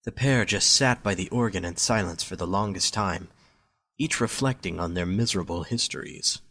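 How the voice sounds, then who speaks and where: A man's voice: low voice, normal speed, sharp tone, slight background noise. A man reading scripted story narration as the narrator of an amateur audio drama.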